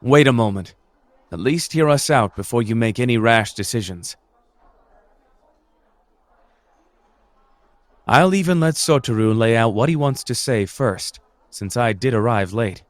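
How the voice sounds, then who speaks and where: A man playing a suave renegade sorcerer in an anime audio roleplay, speaking in a measured, formal, gently persuasive and manipulative way. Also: mid-range voice, expressive, no echo, lightly acted. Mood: composed, pointed.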